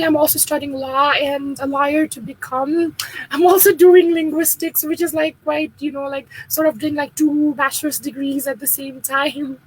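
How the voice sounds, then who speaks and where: A young woman from Nepal with a high voice, talking as a guest on a video call. Her delivery is casual and informal, often padded with fillers. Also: low-quality recording. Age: 20s. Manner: informal, with many "like, you know" fillers. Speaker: guest speaker. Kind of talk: casual conversational talk.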